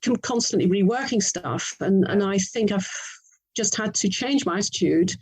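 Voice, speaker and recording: A middle-aged woman with an English accent speaks as an author being interviewed. Her pitch sits in the middle range. She is conversational and reflective, rewording herself as her sentences meander. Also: animated, normal speed, a little mellow, breathy edge, rough recording. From England. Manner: reflective, meandering, self-correcting.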